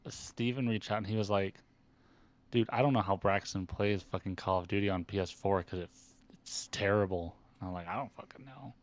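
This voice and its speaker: A man's voice: low voice, level tone, brisk. A man chatting casually with friends, teasing and swearing as he talks. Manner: teasing, profane. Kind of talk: casual chat among friends.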